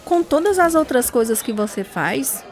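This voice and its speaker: A woman's voice: sits high, big pitch swings, moderate pace, some chatter behind. An actress playing a devout, weary, caring mother in a radio drama.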